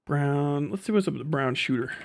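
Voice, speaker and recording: A man's voice: a little high, a little fast, gravelly, slight background noise. A man chatting casually as a live streamer, rambling and sardonic, reading things aloud and swearing offhandedly.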